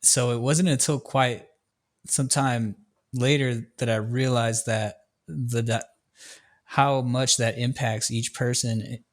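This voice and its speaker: A young adult man hosting a podcast, asking relaxed questions with frequent "uh" fillers. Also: medium pitch, slow pace. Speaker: podcast host. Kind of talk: casual interview conversation.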